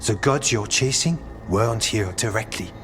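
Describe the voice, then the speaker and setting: A man's voice: on the low side, moderate pace, a bit bright, a little hiss or hum, close to an everyday voice. Solemn fantasy warden man in long reverb acted. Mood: solemn, concerned.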